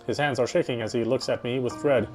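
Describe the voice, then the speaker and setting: A man's voice: medium pitch, steady tone, fast, rough recording. A man reading a game's story aloud as dramatic narration, as a player would. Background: game music.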